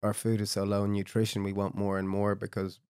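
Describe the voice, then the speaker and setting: A male podcast co-host eagerly prompts his guest and finishes his sentences. He talks quickly in a fairly low voice. The recording is close and dry. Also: completely flat delivery, a little mellow, clean tone, no room sound. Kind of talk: casual conversation.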